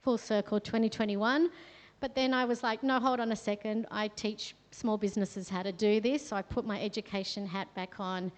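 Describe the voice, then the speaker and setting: A woman's voice: medium pitch, steady tone, moderate pace. A woman from Australia with an Australian accent, telling a chatty, story-led talk to a meetup audience with many fillers. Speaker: guest speaker. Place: event room with a live audience. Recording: long reverb in a venue hall.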